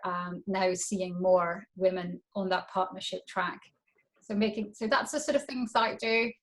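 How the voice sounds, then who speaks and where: A woman diversity consultant hosting a webinar over a video call, talking conversationally and thinking aloud as she invites participants in. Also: medium pitch. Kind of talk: webinar presentation and group round.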